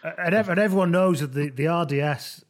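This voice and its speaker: A man with a fairly high-pitched voice co-hosts a sports podcast. He talks informally, as in a chat with friends. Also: fast. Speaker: podcast co-host. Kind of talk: casual rugby chat.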